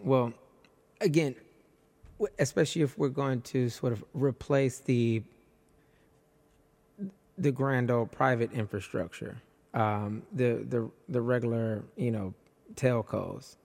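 A man hosting a casual podcast conversation, hoarse with his voice nearly gone, animated and jumping up in pitch when excited. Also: slow, long pauses, in a large hall. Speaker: podcast host.

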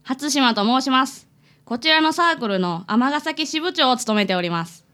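Brief: woman as a courteous, formal club leader, slightly raised pitch, radio drama. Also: expressive, normal speed, sharp tone. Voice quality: polite, formal. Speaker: visiting client in a radio drama.

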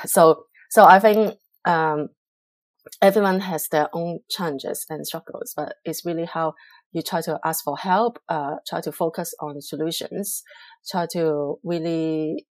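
A middle-aged woman from Hong Kong, a public relations professional, answering interview questions in a measured, reflective way with frequent pauses and fillers. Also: deep voice, dry recording.

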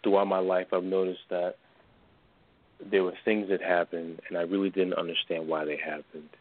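A man in his twenties from New York, calling in to a radio show and talking hesitantly and haltingly. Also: deep voice, flat delivery. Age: 20s. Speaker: caller. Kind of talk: call-in radio conversation. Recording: tinny phone line.

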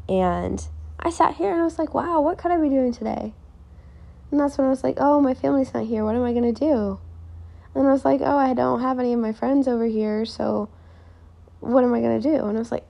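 A young woman hosting her own podcast, talking casually and reflectively, her voice a little raspier than normal. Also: medium pitch, a little mellow. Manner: chatty and reflective, quoting her own thoughts aloud. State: voice more raspy than normal. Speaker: podcast host. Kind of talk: casual solo podcast talk.

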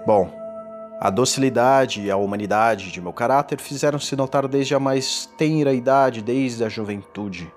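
A man playing a tormented condemned man in a gothic horror audio drama, confessing in a formal, theatrical and deliberate delivery. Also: mid-range voice, lightly acted. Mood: wistful, grave. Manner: theatrical, deliberate, ornate diction. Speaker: narrator. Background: music.

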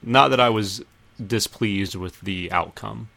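A man's voice: lowish voice, measured pace, gravelly, pushed and bright, no echo. A man hosting a casual film discussion with friends, thinking aloud in a tentative way. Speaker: podcast host.

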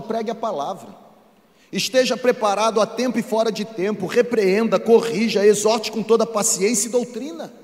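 A man with a Brazilian accent preaching a sermon to a congregation, speaking emphatically and repeating phrases for rhetorical effect. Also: extremely high pitch. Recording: echoey hall with long reverb.

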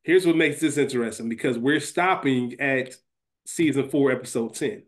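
A man's voice: mid-range voice, moderate pace. A man co-hosting a podcast in casual, profane banter, thinking aloud and repeating himself as he weighs his choices. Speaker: podcast co-host. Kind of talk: casual sports-draft style banter about a TV series.

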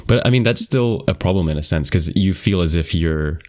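Deep-voiced young male podcast host. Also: brisk. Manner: relaxed, laughs often, asks follow-up questions. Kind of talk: casual interview chat.

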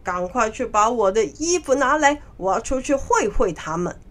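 Indignant storybook monkey king played by a woman, boastful and scornful, high-pitched with big swoops. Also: animated, moderate pace, close to an everyday voice. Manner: loud, scornful, exclamatory. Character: proud, hot-tempered male monkey king, indignant and boastful. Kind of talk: children's storybook character voice.

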